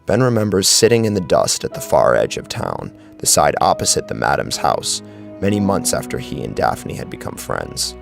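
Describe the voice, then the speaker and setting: A man reads scripted horror fiction as its dramatic narrator. His voice is deep and creaky, with lively swings of intonation. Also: normal speed, soft background music.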